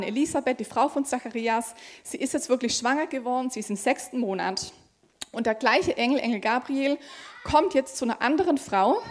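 A young woman from Germany preaching in a conversational tone, her voice a little high. She reads a scripture passage first, then speaks freely and casually, with small fillers along the way. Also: darkish tone. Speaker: pastoral trainee preacher. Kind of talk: sermon with scripture reading.

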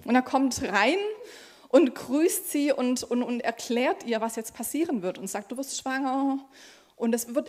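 A young woman from Germany preaching in a conversational tone, her voice a little high. She reads a scripture passage first, then speaks freely and casually, with small fillers along the way. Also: darkish tone. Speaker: pastoral trainee preacher. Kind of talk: sermon with scripture reading.

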